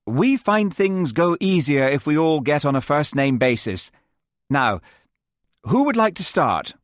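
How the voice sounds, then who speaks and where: A man voicing a nervous, brightly cheerful male fishing instructor. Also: on the high side, big pitch swings, unhurried, dry recording, clean studio sound, voice pushed up.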